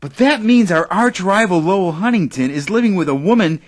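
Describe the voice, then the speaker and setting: A man plays an anxious radio station owner in an audio drama. He sounds fretful and doubtful, full of questions, with very animated intonation. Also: high-pitched, a little fast. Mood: alarmed.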